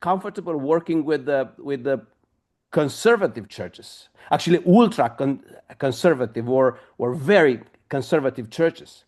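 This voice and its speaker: A middle-aged pastor with a Romanian accent, asking a long, halting question at a discussion with many pauses and fillers. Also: male voice, medium pitch, expressive, darkish tone.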